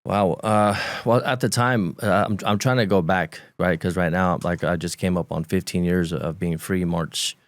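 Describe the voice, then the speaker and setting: Man, Romanian accent, forties, very deep, gravelly, flat delivery, halting candid storytelling, dry close recording. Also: no room sound. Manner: halting, repeats words while recalling, laughs lightly. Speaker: podcast guest.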